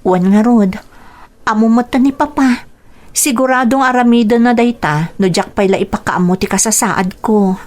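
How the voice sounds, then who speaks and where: Woman acting high-voiced, troubled young runaway daughter. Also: moderate pace, a little mellow, close to an everyday voice. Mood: weary, resigned. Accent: Filipino. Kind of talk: radio drama acting and narration.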